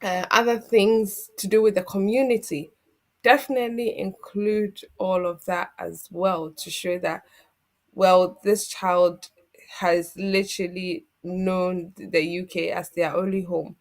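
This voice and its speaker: A woman with a slightly low voice talks through legal advice in a steady, methodical way. She sounds like a solicitor guiding viewers through a process. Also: measured pace. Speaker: immigration solicitor guest. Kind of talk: explaining legal advice on a community TV show.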